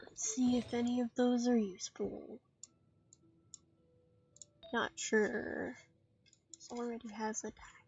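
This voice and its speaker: A woman giving casual solo commentary on a game stream in a playful, dryly self-deprecating, halting way. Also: a little high, level tone, a little mellow. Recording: dull lo-fi mic with faint noise and clicks.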